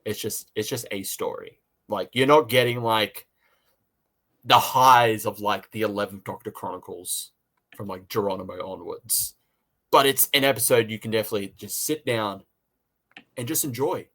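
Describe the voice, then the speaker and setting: A man from Australia co-hosting a casual review chat, talking in a halting way and often repeating words. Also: fairly low pitch, steady tone, a bit bright. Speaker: podcast co-host and reviewer.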